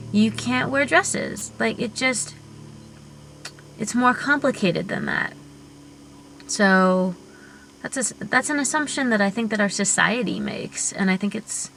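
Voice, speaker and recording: A young genderqueer vlogger, female-bodied, with a high voice at a middle pitch for a woman. The delivery is slow and unhurried, earnest and reflective, often pausing to think aloud. It sounds like a personal video diary recorded at home. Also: a bit bright, a little hiss or hum, low-quality recording. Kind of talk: personal vlog monologue.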